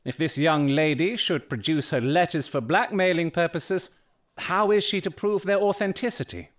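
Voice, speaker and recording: A man playing a shrewd, urbane gentleman detective in an audio drama, speaking formally and precisely with dry, theatrical irony. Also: a little high, normal speed, close to an everyday voice. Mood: dry, commanding.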